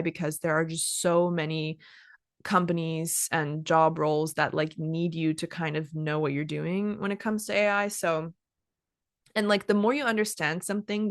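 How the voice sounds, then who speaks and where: A woman's voice: deep voice, dry recording, studio quality. A woman in her twenties, an English teacher hosting a casual podcast chat, talking with lots of "like" and "kind of" and stopping to explain words. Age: 20s. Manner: chatty, many "like" and "kind of" fillers, pauses to define words.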